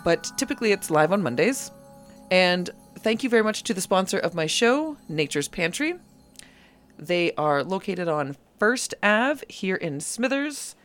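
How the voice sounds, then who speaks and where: A woman's voice: lowish voice, very animated, unhurried, fairly bright tone, faint music behind. A woman hosting a live community radio show, chatting playfully and drifting off topic. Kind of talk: casual live radio chat. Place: local community radio studio.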